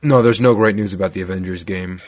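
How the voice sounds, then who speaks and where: A man's voice: sits low, quick pace, no echo. Teasing male podcast co-host, dry sound. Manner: teasing, playful questioning. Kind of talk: casual podcast chat.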